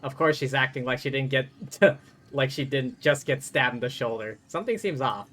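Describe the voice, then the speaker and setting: A woman's voice: extremely low pitch, normal speed, fairly bright tone, faint music behind. A woman live streamer reading game dialogue aloud and adding casual commentary as she plays.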